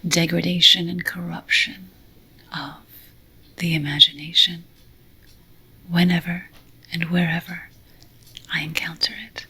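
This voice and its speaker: A woman delivers scripted ASMR affirmations in a breathy whisper with a crisp, bright edge. She speaks very slowly and solemnly, as if leading a ceremony, weighing each phrase with deliberate care. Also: on the low side, fairly even intonation. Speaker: ASMR roleplay host.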